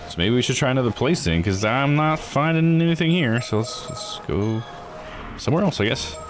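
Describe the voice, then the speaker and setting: A man's voice: medium pitch, lively intonation, fairly quick, noisy background. A man doing casual let's play commentary as a game streamer, thinking aloud in folksy, slangy phrases. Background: game music.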